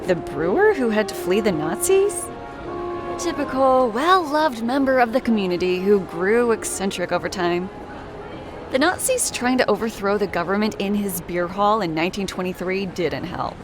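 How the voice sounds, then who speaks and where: A woman's voice: mid-range voice, expressive, moderate pace, over music, natural voice. A woman voicing a playful, knowing psychology student and history buff in a video game, with a wry, teasing manner. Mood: knowing, curious. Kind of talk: video game character dialogue.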